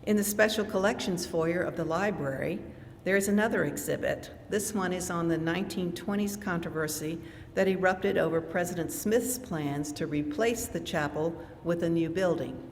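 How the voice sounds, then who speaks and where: Woman with a formal welcome in a reverberant hall. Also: lowish voice. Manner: measured, polite, formal. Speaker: museum director hosting a lecture. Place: large chapel lecture hall. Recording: long hall reverb, faint noise.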